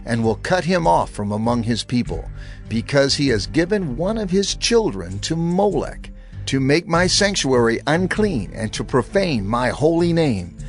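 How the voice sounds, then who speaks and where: God laying down the law. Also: male voice, medium pitch, sing-song, a little slow, faint music behind. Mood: stern. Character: God giving laws, measured and commanding. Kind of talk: dramatized scripture reading.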